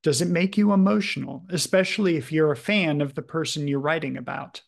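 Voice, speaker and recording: Man, slightly high voice, gravelly, easygoing question host. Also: brisk. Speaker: video producer. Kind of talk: reading audience questions.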